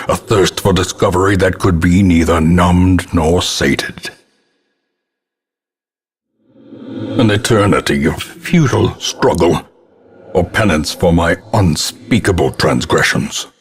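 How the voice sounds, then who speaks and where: An actor voicing a grim, guilt-ridden old ancestor who narrates a gothic horror game in solemn, ornate, theatrical phrases with a husky voice. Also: male voice, low voice. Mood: haunted, brooding. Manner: solemn, ornate, deliberate dramatic delivery.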